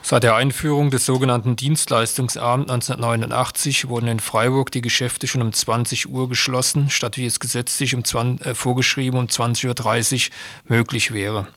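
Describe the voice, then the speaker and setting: Male radio news presenter, mid-pitched, scripted narration, very dry recording. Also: flat delivery, a little fast, a bit bright, dry recording.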